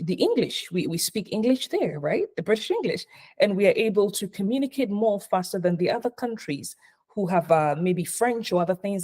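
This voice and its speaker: Mellow-voiced Ghanaian-raised woman consultant. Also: fairly low pitch. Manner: earnest, repeats points for emphasis. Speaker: hospitality consultant speaking as a guest. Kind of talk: spoken talk in a live online session.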